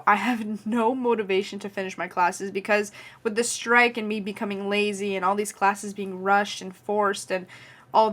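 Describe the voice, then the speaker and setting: Casual vlogger, woman of nineteen, Canadian accent; chatty and unscripted, riddled with "like" and "um", mid-range pitch. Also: pushed and bright. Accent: Canadian English. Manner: chatty, frequent "like" and "um" fillers. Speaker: vlogger and podcast host. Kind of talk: casual rambling monologue.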